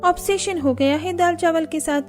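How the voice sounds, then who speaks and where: A woman's voice: very high-pitched, moderate pace, darkish tone, faint music behind, voice pushed up. A woman voicing a weary young Indian daughter-in-law in a cartoon story, complaining plaintively. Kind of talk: Hindi cartoon story dialogue.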